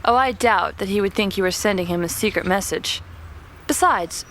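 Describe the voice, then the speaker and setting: An actress playing a gentle, sensible young woman in an audio drama, sincere and mildly teasing. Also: mid-range voice, normal speed, slight background noise, natural voice. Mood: dreamy, reassuring.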